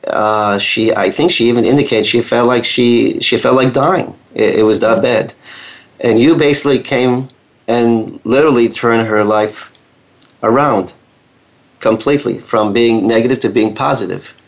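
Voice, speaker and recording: A male radio host with a gravelly edge to his voice. He talks at a mid pitch, pausing often and filling gaps with hesitations. He comes across as friendly and unhurried. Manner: hesitant, many fillers, warm laughter. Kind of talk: radio interview.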